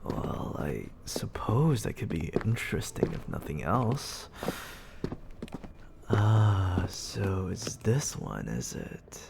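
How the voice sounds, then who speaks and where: Haughty demon lord for ASMR roleplay, performed by an actor: suave, gravelly, lively intonation, frequent whispering, close-miked with clear background noise. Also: sits low. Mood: intrigued. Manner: slow, theatrical, often dropping into breathy whisper.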